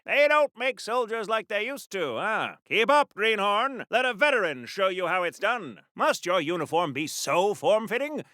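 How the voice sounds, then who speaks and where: An actor playing a pompous, prudish American superhero war veteran of the 1940s in a video game, with a theatrical old-fashioned delivery. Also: male voice, sits high, big pitch swings, moderate pace, few pauses, bright, forceful tone, dry recording, clean studio sound, exaggerated voice. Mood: haughty. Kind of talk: video game acting in 1940s radio hero manner.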